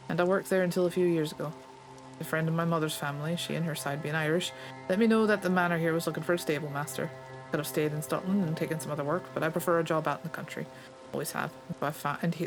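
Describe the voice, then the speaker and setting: A player voicing Scottish stable master, gruff, plainspoken, understated, middle pitch, soft game music underneath. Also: natural voice, low-quality recording. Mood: easygoing. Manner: folksy and understated.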